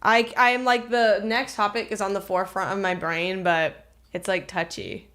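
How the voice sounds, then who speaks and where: A woman's voice: mid-range voice, fairly even intonation, normal speed, a bit bright. A woman co-hosting a casual comedy podcast, candid and profane, arguing her points in a mock-serious way. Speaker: podcast co-host.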